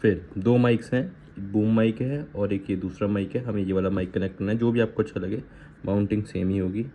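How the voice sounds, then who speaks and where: A man's voice: fairly low pitch, steady tone, fairly quick, mellow. An Indian man giving a casual product walkthrough in an informal way, slipping between Hindi and English phrases. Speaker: vlogger reviewing gear. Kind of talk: casual unboxing and installation walkthrough. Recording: muffled lo-fi audio with faint background noise.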